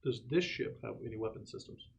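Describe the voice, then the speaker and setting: A man's voice: mid-range voice, huge pitch swings, brisk, vocal fry. A man playing a tabletop game, chatting casually and wryly in character. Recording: muffled, faintly hissy room recording.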